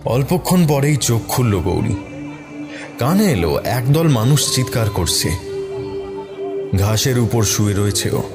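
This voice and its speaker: A man's voice: mid-range voice, big pitch swings, a little slow, long pauses. A man narrating a scripted horror story in a dramatic style. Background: music behind the voice.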